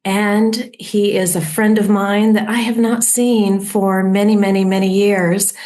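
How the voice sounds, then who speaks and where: A middle-aged woman hosting a podcast interview, talking in a friendly, halting way with many fillers and restarts. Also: medium pitch, level tone, darkish tone. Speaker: podcast host. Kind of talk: casual interview.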